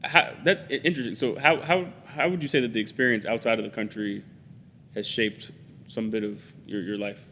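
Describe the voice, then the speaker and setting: A man hosting an interview podcast, upbeat and quick to laugh, sometimes stumbling as he frames his questions. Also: lowish voice, fairly even intonation, bright, forceful tone, a little hiss or hum. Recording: roomy reverb.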